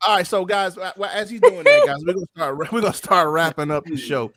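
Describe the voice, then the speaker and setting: Man, lively intonation, fairly high voice, casual show host. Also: fast.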